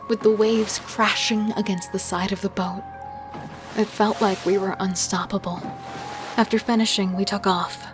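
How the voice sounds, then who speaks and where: A woman playing a thrill-seeking, remorseless killer and thief, narrating in an intimate, breathy way for a horror audio drama. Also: mid-range voice, close to an everyday voice. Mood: sultry, confident. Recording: music under the voice. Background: music.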